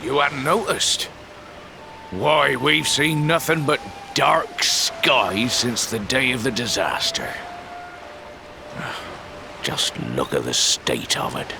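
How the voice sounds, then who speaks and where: An actor voicing a gruff but kindly old fisherman in a video game, with folksy, rustic speech and an excitable, theatrical manner. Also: mid-range voice, takes it slowly, long pauses, background noise. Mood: jovial, weary. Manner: folksy, rustic dialect with "ain't" and "sonny".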